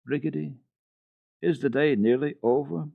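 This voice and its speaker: Man voicing a trembling, high-pitched old grandmother. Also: a little slow, creaky, no echo. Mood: gentle. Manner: exclaiming, trembling. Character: frail old blind grandmother, anxious, tearfully joyful. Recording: dull archival radio tape.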